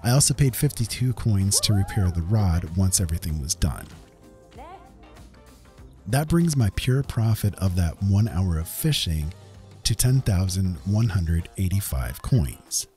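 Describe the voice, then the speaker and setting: Low-voiced man narrating a gaming video, music underneath. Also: very animated, a little slow, darkish tone. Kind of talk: semi-scripted explanatory gameplay voice-over. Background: background music.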